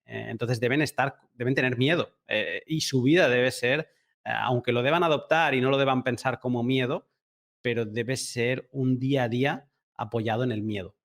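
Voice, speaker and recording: A man's voice: mid-range voice, normal speed, fairly bright tone. A man with a mid-range voice hosts a podcast interview. He talks conversationally and reflectively, as if thinking aloud.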